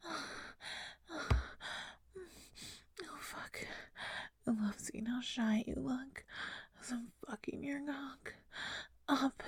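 Woman, young adult, soft whisper, very breathy, dry close sound. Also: high voice, no room sound. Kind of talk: intimate audio roleplay.

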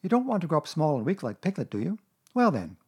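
A storybook reader voicing a brisk, firm, motherly female kangaroo, coaxing and mock-severe. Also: medium pitch, sing-song, darkish tone, slightly rough, pitched above the performer's own voice. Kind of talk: children's storybook character voice.

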